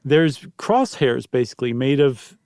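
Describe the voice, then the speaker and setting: A man's voice: medium pitch, expressive, unhurried, darkish tone, no room sound, studio quality. A man co-hosting a podcast, explaining things in a casual, chatty and descriptive way. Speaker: podcast co-host.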